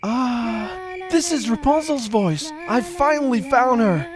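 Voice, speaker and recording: Gallant young prince, earnest, romantic, dramatic, devoted, fairy-tale cartoon. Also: male voice, very high-pitched, lively intonation, slow pace, a crowd in the background, exaggerated voice. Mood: excited, calling out. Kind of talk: cartoon character acting.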